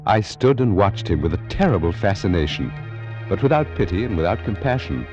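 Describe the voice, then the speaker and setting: Male, cultured scholar in a vintage radio thriller: lowish, expressive, formal, muffled sound, music underneath. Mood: grim, fascinated. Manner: formal, dramatic, measured. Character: cultured male Egyptology scholar, secretly an ancient priest. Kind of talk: 1940s radio acting and first-person narration.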